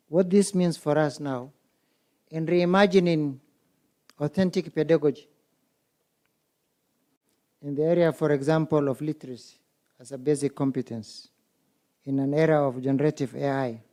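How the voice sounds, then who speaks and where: A man's voice: fairly high pitch, steady tone, moderate pace, long pauses, dark tone. A man from Kenya moderating a symposium, asking the audience one rhetorical question after another. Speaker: conference moderator. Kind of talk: public speaking at a symposium. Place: conference hall.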